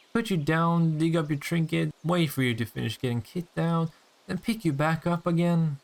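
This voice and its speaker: Man playing a smug, teasing vampire, swooping intonation. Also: mid-range voice. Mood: exasperated. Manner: sardonic, teasing, swearing, drawn-out taunts. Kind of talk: intimate audio roleplay acting.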